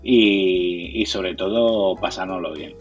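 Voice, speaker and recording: A man's voice: low voice, slow pace, muffled recording, faint music behind. A man from Spain with a Castilian accent, answering interview questions conversationally, sometimes repeating words as he searches for phrasing. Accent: Castilian Spanish. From Madrid, Spain. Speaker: interviewee, board game community organizer.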